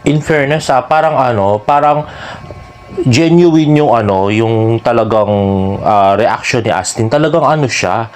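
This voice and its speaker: A man's voice: mid-range voice, a little slow. A man with a Filipino accent gives casual, chatty fan commentary as a vlogger, gushing and often adding tag questions. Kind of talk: casual commentary on celebrity social media posts. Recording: clearly noisy background with ambient sound.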